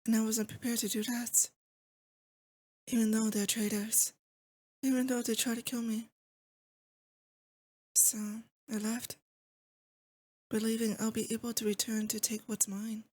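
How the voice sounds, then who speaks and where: A woman's voice: fairly high pitch, little pitch movement, unhurried, long pauses, warm tone. A woman acting as a lovesick, possessive demon lord in a romantic ASMR roleplay, intimate and doting with a theatrical menace. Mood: wounded, longing.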